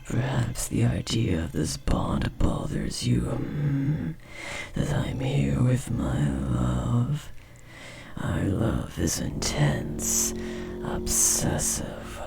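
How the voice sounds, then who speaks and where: A man voicing a possessive, menacing insectoid parasite devoted to his mate in a horror audio roleplay, with a breathy, gravelly whisper and a condescending manner. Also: medium pitch, very animated, music in the background, heavily acted. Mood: smug, obsessive. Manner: slow, sinister, coolly condescending, tender about family.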